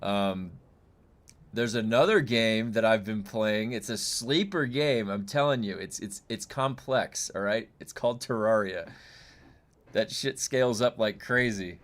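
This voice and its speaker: A man with a loud, booming voice, chatting loosely and profanely on a game live stream from home, with lots of fillers. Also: a little slow. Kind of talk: casual live-stream chat. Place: home room.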